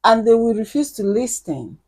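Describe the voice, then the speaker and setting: A woman's voice: on the high side, moderate pace. Woman guest talking about mindset, earnest, acts out her inner voice. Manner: earnest, storytelling, animated when acting out her thoughts. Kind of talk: casual chat.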